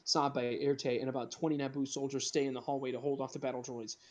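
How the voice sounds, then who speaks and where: A man's voice: medium pitch, level tone, quick pace, a little mellow. A man narrating a script informally for an amateur comedy reading, sometimes stumbling over the words.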